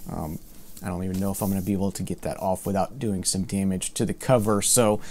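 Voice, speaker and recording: A man's voice: sits low, fast. A man in his thirties casually reviewing vinyl records to camera in a room lined with record shelves, talking in a loose, rambling way with lots of fillers and restarts. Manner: relaxed, rambling, many fillers and restarts, mildly exasperated. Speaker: vinyl collector vlogger.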